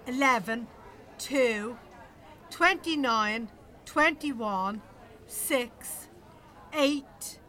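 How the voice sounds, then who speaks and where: Sharp-tongued Dublin bingo checker, high bright voice, blunt, haggling, unhurried, audio drama. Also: female voice, heavily acted. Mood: flat calling. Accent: Dublin Irish. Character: wary, sharp-tongued Irish working-class woman, bingo checker.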